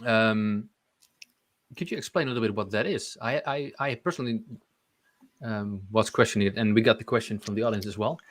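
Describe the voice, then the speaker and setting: A man's voice: on the low side, fairly quick, a bit bright. Polite moderator man asking questions.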